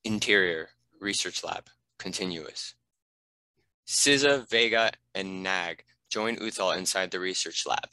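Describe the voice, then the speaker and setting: Male narrator for script directions, deep and crisp-edged, scripted delivery. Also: unhurried, pushed and bright, no echo, low-quality recording. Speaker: narrator reading stage directions.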